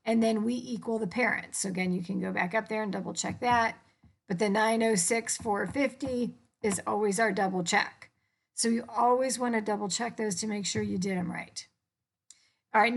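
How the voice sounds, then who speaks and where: A woman's voice: mid-range voice, vocal fry. A woman instructor informally explaining worked accounting problems step by step.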